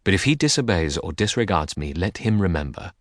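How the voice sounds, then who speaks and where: A man's voice: low-pitched, very animated, brisk, dry recording, studio quality. A man voicing a proud, commanding king of the gods in an audiobook, stern and authoritative. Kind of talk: dramatic audiobook character reading.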